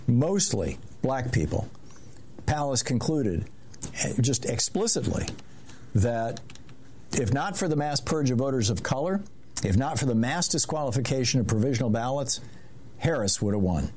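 A man runs a call-in radio talk show in a relaxed, conversational way. His voice sits at an ordinary middle pitch. He steers his callers gently and keeps things moving. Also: moderate pace, a little hiss or hum.

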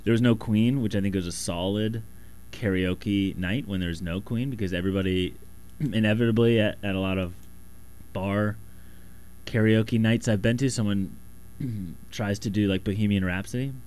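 Rambling man with a slightly raspy voice. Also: on the low side, fairly even intonation, moderate pace, a little mellow, slight background noise. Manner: rambling, loose and self-deprecating, many restarts. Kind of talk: casual monologue.

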